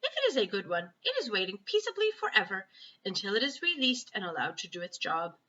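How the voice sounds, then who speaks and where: A woman voicing a huge, gentle, kindly male storybook giant who explains things in muddled, folksy grammar. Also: mid-range voice, big pitch swings, dull audio. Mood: earnest. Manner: folksy, ungrammatical, unhurried explaining.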